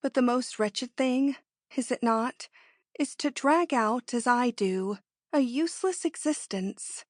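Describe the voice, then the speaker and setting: A woman acts a wistful, self-pitying married lady from a classic novel. Her voice sits fairly high and sighs plaintively through each line, recorded very dry. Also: slow, no echo. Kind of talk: dramatic reading of classic literature.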